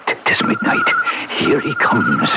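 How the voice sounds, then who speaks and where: A man's voice: moderate pace, lightly acted. An actor playing a sly old Irish ghost uncle in a radio drama, wheedling and impatient, repeating his words. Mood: scheming, brisk. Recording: noisy dull old radio recording.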